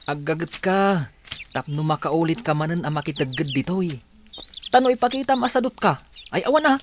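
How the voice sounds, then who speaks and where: A man's voice: a little high, animated, moderate pace, a little hiss or hum, close to an everyday voice. A man acting a hardworking farm co-worker in a radio drama, bossy but friendly, with an urging manner. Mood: urging, scolding.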